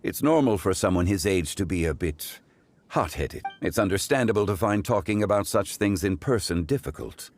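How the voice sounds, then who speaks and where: Casting: a courteous scholarly sage for a video game. Low voice, expressive melody, formal and eloquent delivery. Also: male voice, moderate pace, a little hiss or hum. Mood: gentle, reassuring. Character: Zhongli, calm wise courteous scholarly gentleman. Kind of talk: scripted video game dialogue.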